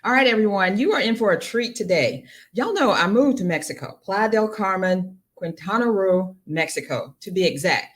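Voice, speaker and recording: A woman with a Southern American accent hosting a podcast interview, chatty and animated with a folksy way of talking. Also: medium pitch, normal speed, few pauses. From Southern United States. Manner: chatty and animated, folksy with y'all, laughs easily. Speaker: podcast host. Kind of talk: casual interview chat.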